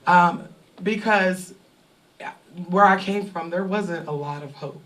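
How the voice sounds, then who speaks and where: Woman in her 30s from california telling her story to a crowd, lively, laughs a lot, voice a bit distant from the mic. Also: on the low side, slow. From Sacramento, California. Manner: animated, laughing, loud bursts of excitement. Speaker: online creator speaking at fan event. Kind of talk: candid talk to a live audience. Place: event venue with audience.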